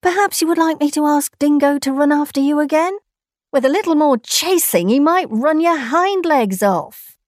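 A woman's voice: high voice, very animated, normal speed, darkish tone, dry recording, clean studio sound, voice pushed up. Woman doing a scolding storybook spirit. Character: stern, scolding spirit creature.

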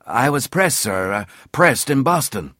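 A man's voice: mid-range voice, big pitch swings, measured pace, sharp tone, dry recording, studio quality, pitched above the performer's own voice, full character voice. A man voicing a deferential sailor from a historical novel, answering respectfully and a little hesitantly. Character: deferential sailor, seasoned topman, answering officer.

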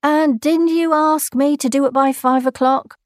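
A woman's voice: high-pitched, steady tone, a little slow, dry recording, studio quality, voice pushed up. Woman doing a scolding storybook spirit. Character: stern, scolding spirit creature.

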